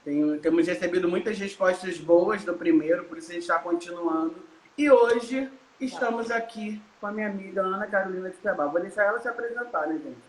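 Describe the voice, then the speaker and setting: A woman hosting a casual interview over a video call, friendly and quick with exclamations. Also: fairly low pitch. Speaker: host. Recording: hissy, echoey room.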